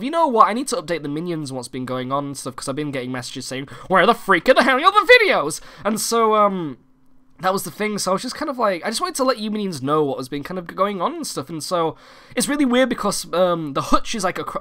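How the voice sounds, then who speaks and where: A young man from the United Kingdom, hoarse and croaky from a cold, hosting a casual gaming-channel vlog and rambling with many fillers and restarts. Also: sits high, bright, forceful tone. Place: home recording room.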